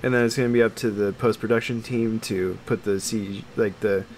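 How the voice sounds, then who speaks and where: A man's voice: lowish voice, steady tone, fairly quick, a little mellow. A man in casual, opinionated chat as a podcast co-host, explaining his points in qualified fragments. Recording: noticeable background noise.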